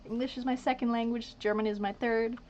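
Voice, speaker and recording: Young dutch-native woman rambling on a stream, bit high voice, kinda dull lo-fi audio w faint hum. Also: fairly even intonation, a little fast, darkish tone. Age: 20s. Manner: rambling, mutters and whispers asides. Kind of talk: casual live-stream chat while painting.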